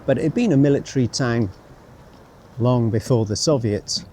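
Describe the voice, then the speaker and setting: A man's voice: medium pitch, normal speed, a little mellow. A man giving casual travel commentary as he walks, thinking aloud in a rambling, wry, self-deprecating way. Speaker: travel vlogger. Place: outdoors, walking by a road and canal. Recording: outdoor street noise with passing vehicles. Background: street ambience, vehicles.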